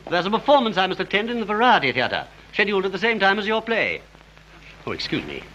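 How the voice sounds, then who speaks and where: High-pitched, genial 1930s broadcasting chief. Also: male voice, huge pitch swings, quick pace, pushed and bright, exaggerated voice. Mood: genial, formal. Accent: cut-glass upper-class English. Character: genial, formal male broadcasting director general. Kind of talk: 1930s British film acting. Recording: muffled, hissy 1930s film soundtrack.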